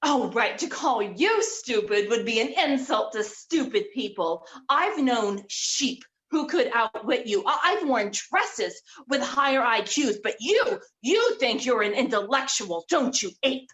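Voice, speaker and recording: Woman acting scornful scheming female, high voice with big swoops, deliberate pace, staged comedy. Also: lively intonation, fairly bright tone, rough recording. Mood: withering, condescending. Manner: condescending, emphatic. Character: exasperated, scornful, scheming woman berating her dim partner.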